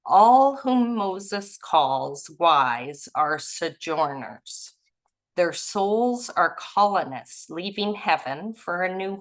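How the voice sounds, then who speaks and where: A woman from Canada leading a spiritual study group, reading passages aloud and musing on them gently. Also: fairly low pitch. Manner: gentle, musing, softly laughing at herself.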